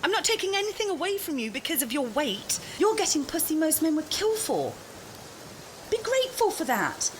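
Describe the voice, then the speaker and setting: High, animated, demanding girlfriend played by a woman. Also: normal speed, a little hiss or hum. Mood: insistent, defensive. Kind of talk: audio drama dialogue.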